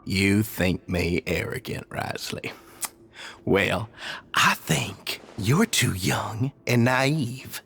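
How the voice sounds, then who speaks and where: A man's voice: on the low side, animated, extremely slow, gravelly, a bit bright, breathy edge, exaggerated voice. A man playing an arrogant, cruel prison villain in a video game, menacing and theatrical, full of contempt. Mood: scornful menace. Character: arrogant, cruel male prison villain, scornful and power-hungry. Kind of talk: dramatic video game voice acting.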